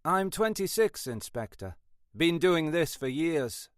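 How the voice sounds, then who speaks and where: A man's voice: medium pitch, lively intonation, unhurried, fairly bright tone, no echo, clean studio sound, pitched above the performer's own voice. A man voicing a cocky young computer expert in an audiobook, with a cut-glass English accent and clipped manner. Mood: polite, cool. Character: cocky skinny young male computer forensics whiz, twenty-six.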